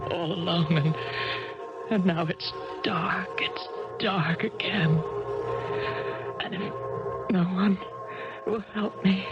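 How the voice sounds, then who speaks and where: A woman's voice: lowish voice, fairly even intonation, slow, pauses often. An actress playing a troubled woman tennis champion who drinks, dazed and pleading at times, guarded and dry at others. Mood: desperate. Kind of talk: 1970s radio drama acting. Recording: dull lo-fi 1970s radio broadcast with music.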